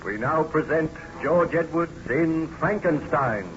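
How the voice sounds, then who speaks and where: A man's voice: a little high, takes it slowly. A man formally narrating a scripted old radio serial as its announcer. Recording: muffled old radio recording. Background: loud music.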